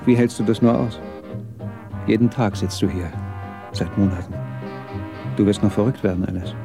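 An actor in a radio drama playing a sardonic failed film director, needling with sarcastic mock affection. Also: male voice, lowish voice, moderate pace, creaky, over music.

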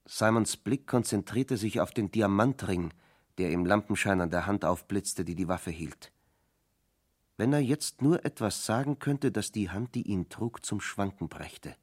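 A man narrating a German radio crime drama from a script in a measured, suspenseful manner. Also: fairly low pitch, slightly rough. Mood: tense.

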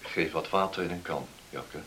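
An actor in a Dutch radio play playing a kindly, practical village doctor with a measured, reassuring manner. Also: male voice, low voice, lightly acted. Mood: calm, reassuring. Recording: noisy old radio play recording.

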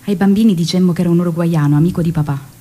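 An actress playing a tender, grieving widow of a revolutionary, recalling the past in an intimate, measured stage monologue. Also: female voice, on the low side, dark tone, close to an everyday voice. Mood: tender recollection. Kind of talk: theatrical dramatic monologue. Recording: music behind the voice.

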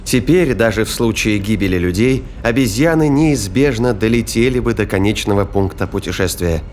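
A man's voice: fairly low pitch, normal speed, darkish tone, slight background noise. A man narrating a science fiction thriller as scripted, dramatic audio fiction.

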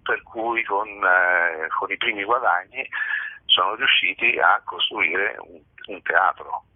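A man's voice: sits low, slow. A man in his fifties answering interview questions as a stage actor, in a hesitant, thoughtful way, searching for words. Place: phone interview. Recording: muffled roomy telephone line.

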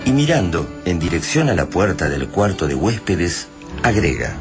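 Radio-play narrator, low voice, solemn, measured, literary, music behind. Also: male voice, big pitch swings. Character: solemn male storyteller of a science-fiction radio play. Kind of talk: dramatic scripted radio narration. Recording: music under the voice.

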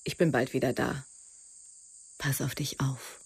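An actress playing a self-assured young lover in a radio play, intimate and decisive. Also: female voice, extremely low pitch, level tone, measured pace, breathy edge, dry recording, heavily acted. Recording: music behind the voice. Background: music.

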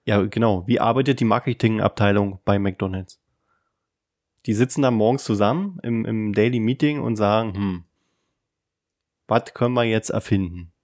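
A man's voice: on the low side, moderate pace, a little mellow, no echo, studio quality. A man from Berlin co-hosting a podcast, playful and joking, often asking questions. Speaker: podcast co-host. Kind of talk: casual chat.

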